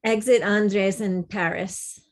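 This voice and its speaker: A woman reads scripted stage directions for a play over a video call. Her delivery is slow, with a mid-range female pitch. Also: fairly even intonation, slight background noise.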